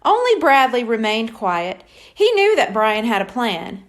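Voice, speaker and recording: High-pitched, animated woman reading a children's story. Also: measured pace. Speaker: audiobook reader. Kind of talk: scripted children's story narration.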